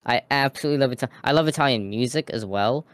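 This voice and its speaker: Smooth-voiced young man, excitable chat. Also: medium pitch, fast, dry recording. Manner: excitable, reads chat aloud, slangy. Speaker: podcast co-host, streamer. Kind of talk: casual chat.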